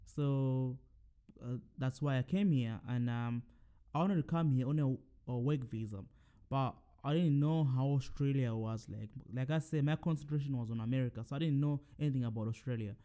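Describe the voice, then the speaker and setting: A man's voice: mid-range voice, moderate pace, dark tone, clear, resonant tone. A young man from Zimbabwe hosting his own podcast, talking casually to his listeners with lots of fillers and restarts. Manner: rambling, many fillers and self-corrections. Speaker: podcast host. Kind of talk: casual solo monologue.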